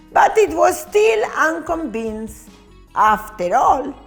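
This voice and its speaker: Expressive high-voiced woman reading a children's story. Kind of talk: read-aloud children's story narration.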